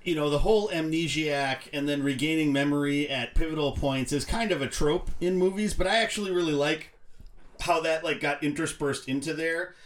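Higher-voiced man chatting casually about movies. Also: normal speed. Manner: rambling, self-correcting, hesitant phrasing. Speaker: podcast panelist.

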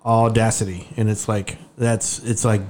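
A man on a paranormal investigation team, talking casually with many pauses and fillers. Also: lowish voice, little pitch movement, a little mellow, a little hiss or hum. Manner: halting, lots of fillers, conversational. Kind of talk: casual group discussion.

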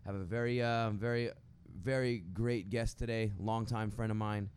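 A man with a fairly low voice hosts a casual podcast. He talks conversationally and haltingly, often doubling back on words. There is clear noise behind him. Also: flat delivery, a little mellow. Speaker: podcast host.

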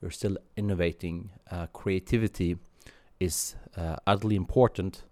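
A man in his thirties from Sweden, answering interview questions in a thoughtful, halting way with many fillers and restarts. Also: low-pitched, steady tone, mellow, no room sound. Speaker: guest.

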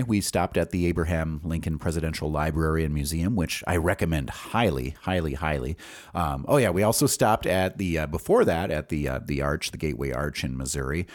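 A man with an American accent speaks in a low, deep voice as he hosts a personal podcast. He tells stories from his life in a loose, rambling monologue. His delivery is informal and halting, as if working through memories aloud. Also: darkish tone. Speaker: podcast host. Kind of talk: casual personal storytelling, monologue.

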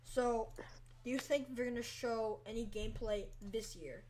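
A woman's voice: fairly high pitch, steady tone, moderate pace, a little mellow, slight background noise, poor audio. A woman hosting a casual podcast over voice chat, giggly and teasing, steering the topics. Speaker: podcast host. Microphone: online voice chat.

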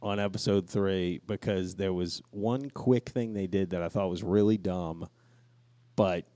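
A man's voice: deep voice, measured pace, warm tone, dry recording. A man co-hosting a casual podcast, rambling through stories with plenty of swearing and quoted asides. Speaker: podcast co-host. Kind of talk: casual podcast chat with profanity.

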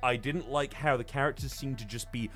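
A man's voice: medium pitch, fairly even intonation, fairly quick, fairly bright tone, faint music behind. A man hosting a podcast in casual film review chat, talking in a rambling way with plenty of swearing. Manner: profane, rambling. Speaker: podcast host.

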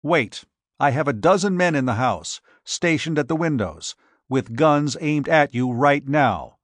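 A man's voice: on the high side, animated, unhurried, dry recording, clean studio sound, voice pushed up. A man voicing a cold, curt male scientist who speaks in clipped lines.

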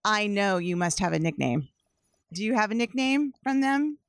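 Woman host, mid pitch, dry close mic, eager and curious. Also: expressive, normal speed, dry recording. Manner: curious, upbeat, laughs often. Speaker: podcast host. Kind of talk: casual interview.

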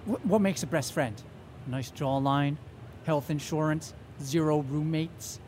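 A man's voice: a little high, measured pace, a little mellow, a little hiss or hum, close to an everyday voice. A man playing an anxious, melodramatic, stammering young boyfriend in an audio drama. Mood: bitter.

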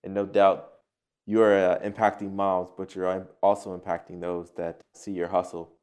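A man's voice: low voice, little pitch movement, normal speed, a little mellow. A man hosting a podcast interview in an upbeat way, often repeating his words. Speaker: podcast host.

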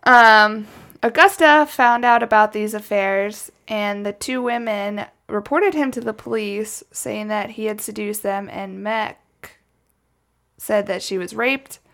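A woman's voice: medium pitch, a little slow, a bit bright. A woman telling a true crime story casually from her notes, as a podcast host, with chatty, wry asides.

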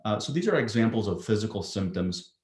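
A man with a low voice explains anxiety as a psychiatrist, talking in a measured way with many ums and uhs. His voice rings in an echoey room. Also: very animated, a little mellow. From Greece. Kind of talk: explanatory interview answers.

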